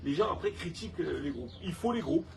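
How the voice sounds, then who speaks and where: A man from Saint-Tropez with a southern French accent, in a casual interview, halting and repeating words, then speaking emphatically. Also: a little high, animated, creaky, far from the mic. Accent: Provençal (southern French). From Saint-Tropez, France. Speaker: restaurant owner and council candidate.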